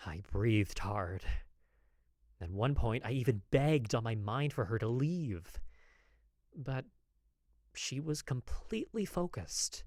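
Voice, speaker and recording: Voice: mid-range voice, expressive, slow pace, pauses often, darkish tone, dry recording, studio quality. A voice actor playing a nervous, lovestruck apothecary of the old west, confessing in hesitant, dramatic first-person narration for a podfic. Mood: flustered.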